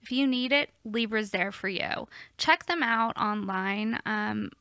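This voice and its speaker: A woman hosting a podcast, chatting casually and playfully with quick asides, after reading an ad. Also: mid-range voice, pushed and bright, clean tone, no echo, clean studio sound. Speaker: podcast host. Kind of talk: casual podcast chat and read-out ad.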